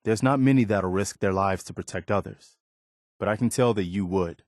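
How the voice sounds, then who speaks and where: An idealistic, principled militia man as a male actor plays him for a video game. His voice sits on the low side, with an earnest, plain-spoken delivery that stays conversational. The sound is very dry and close, with no room to it. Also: normal speed, a little mellow, dry recording, lightly acted. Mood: warm, sincere. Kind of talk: video game companion dialogue, naturalistic acting.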